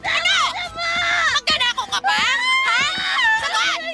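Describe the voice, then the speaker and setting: A woman playing a cruel, harsh mother in a radio drama, scolding and yelling shrilly. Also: very high-pitched, very animated, a little slow, creaky, over a noisy crowd, exaggerated voice. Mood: furious. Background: background music.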